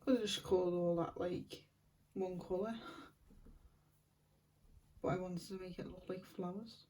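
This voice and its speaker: A woman talks to her viewers while she colours, her voice a little croaky because she is losing it. Her tone is mellow and her pitch stays even. The mic sits a little way off, and there is a faint hiss of noise behind her. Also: unhurried, recorded from a distance. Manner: chatty, soft-spoken, thankful to viewers. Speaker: colouring channel host. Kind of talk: casual chat while colouring.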